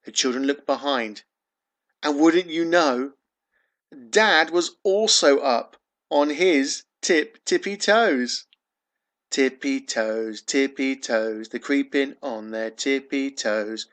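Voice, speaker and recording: A man's voice: mid-range voice, slow pace, fairly bright tone. A man reading his own rhyming children's story aloud, expressively, with dramatic emphasis and sing-song refrains. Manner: dramatic emphasis on key words.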